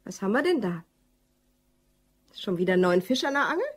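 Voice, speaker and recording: A female office colleague in a radio crime play. Her voice has a slight rasp and a middling pitch. She comes across as businesslike and inquisitive. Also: big pitch swings, brisk. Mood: prying.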